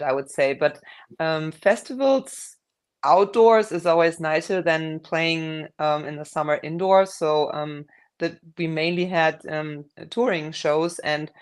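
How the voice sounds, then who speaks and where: A German woman with a German accent speaks as a guest musician over a video call. She talks frankly in English, filling pauses with lots of ums. Her voice sits slightly low. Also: smooth. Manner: frank, many ums, hesitant. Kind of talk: casual interview chat.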